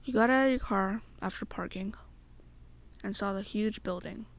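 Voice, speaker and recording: A young adult woman softly narrating a second-person ASMR story in short, halting phrases. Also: mid-range voice, vocal fry.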